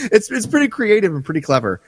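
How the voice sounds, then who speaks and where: A man co-hosting a podcast in casual fan discussion, halting and thoughtful as he talks. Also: high voice, husky, no echo, in a large hall. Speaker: podcast co-host.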